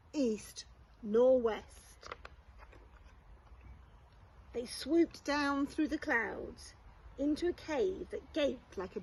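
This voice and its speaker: A woman with a fairly high voice reads a bedtime story for young children. Her delivery is expressive and very unhurried, with deliberate pauses between phrases. There is some light noise in the amateur recording. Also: creaky, low-quality recording. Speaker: primary school teacher reading a bedtime story.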